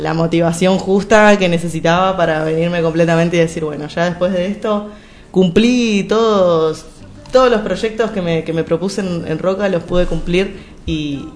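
A woman's voice: fairly low pitch, darkish tone, a little hiss or hum. A young woman from Argentina with an Argentine accent, a singer chatting as a radio guest and rambling with restarts and fillers. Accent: Argentine Spanish. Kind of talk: casual radio interview.

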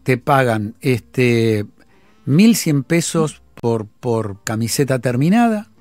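A man's voice: mid-range voice, animated, slow, a little mellow, no echo, soft background music. A man with a Rioplatense accent hosting a phone-in, probing the caller with insistent questions and recapping her figures. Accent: Rioplatense Spanish. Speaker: radio host.

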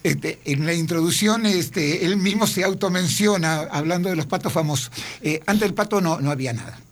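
A man with an Argentine Spanish accent, a guest explaining logos and history in a measured way. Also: high voice. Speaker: tourism guest. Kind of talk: casual radio chat.

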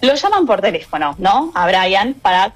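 A woman with a Rioplatense Spanish accent, a radio music columnist telling a story in casual chat, animated and quick to laugh. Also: medium pitch. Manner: lively, animated storyteller who laughs often.

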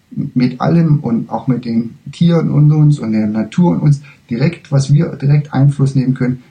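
Guy doing a spiritual talk, really dark mellow voice, keeps stopping to hunt for words and fix them. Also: mid-range voice. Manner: halting, searching for words, self-correcting. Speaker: spiritual lecturer. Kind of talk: spiritual lecture, spoken freely.

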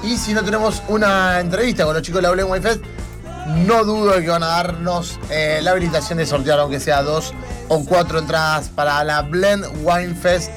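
Male radio host, Rioplatense Argentine, high pitch, chatty and casual, music underneath. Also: steady tone, moderate pace. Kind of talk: casual live radio chat.

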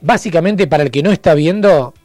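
Man, Argentine accent, slightly high voice, radio host, casual chat and announcements, dry sound, faint music behind. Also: fairly quick, darkish tone, dry recording. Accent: Argentine Spanish.

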